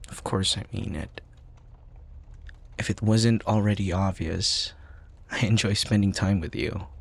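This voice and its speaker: A young male student played by a man speaks low and gravelly, as a fond, playful best friend in a boyfriend audio roleplay. His delivery is soft and intimate, with small hesitations. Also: measured pace, exaggerated voice. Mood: tender, sincere.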